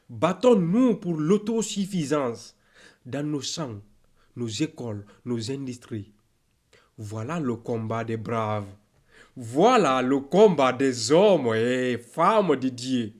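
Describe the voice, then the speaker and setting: A man with a slightly high voice recites motivational spoken-word poetry very slowly. He declaims in short, measured phrases, pausing deliberately and urging the listener on.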